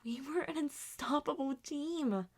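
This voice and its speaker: Young animated murder mystery character, played by a woman: higher voice, earnest, anxious, theatrical and deliberate. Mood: earnest, defensive. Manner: theatrical, deliberate acted dialogue. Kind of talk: scripted ASMR roleplay, character voices.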